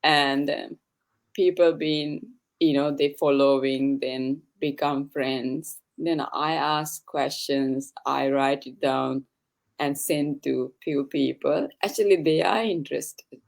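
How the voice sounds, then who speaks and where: Slow, gravelly-voiced woman from Sri Lanka. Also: deep voice, steady tone. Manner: slow, hesitant, halting non-native English. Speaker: guest asking questions. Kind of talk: casual video call interview.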